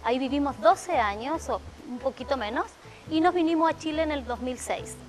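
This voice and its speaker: A middle-aged woman speaks in a high voice, reflective and storytelling, pausing on fillers. She sits on a studio sofa with a lapel mic, with faint music underneath. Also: animated. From Argentina (Mendoza). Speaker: guest, salon owner. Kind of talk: television interview. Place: TV studio living-room set.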